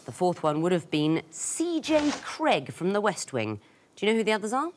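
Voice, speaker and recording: A woman's voice: mid-range voice, big pitch swings, moderate pace, a little hiss or hum. A woman hosting a television quiz show, reading clues and teasing contestants with dry wit. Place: television studio.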